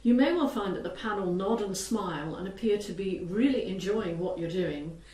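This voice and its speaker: A woman's voice: mid-range voice, normal speed, warm tone. A woman co-presenting a coaching webinar, adding practical explanatory points in a conversational way. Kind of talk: explanatory teaching talk. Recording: echoey room, distant from mic.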